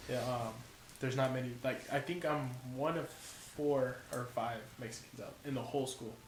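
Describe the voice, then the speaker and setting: A man's voice: medium pitch, steady tone, normal speed. A man in his twenties from California, a college football player talking as a podcast guest in casual, profane, slangy chat. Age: 20s. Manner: profane, laid-back slang. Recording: echoey room with faint noise.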